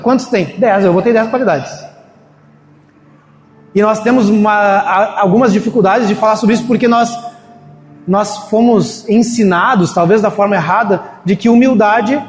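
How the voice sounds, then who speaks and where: A man's voice: high voice, a little hiss or hum. A man preaching a sermon in a church in a casual, storytelling way, acting out the voices of people he quotes. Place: church hall. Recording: echoey hall, faint ambience.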